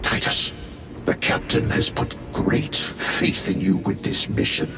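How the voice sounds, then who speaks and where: A man's voice: takes it slowly, bright, forceful tone. An actor playing a severe male warrior chaplain who makes cold, admonishing pronouncements. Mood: stern. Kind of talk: game cutscene dialogue. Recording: echoey game scene with rumbling noise.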